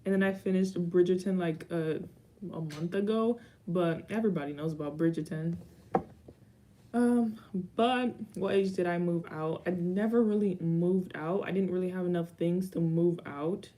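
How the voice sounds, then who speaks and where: A woman's voice: lowish voice, normal speed, mellow, a little hiss or hum. A young woman in her twenties answering viewer questions in a rambling, casual vlog chat with dry asides. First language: Haitian Creole. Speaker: YouTuber.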